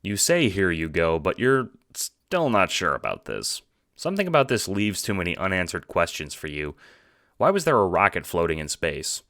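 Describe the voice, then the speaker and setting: A man's voice: low-pitched, sing-song, moderate pace, fairly bright tone, no room sound, studio quality. A man reading scripted second-person story narration as the narrator of an audio drama.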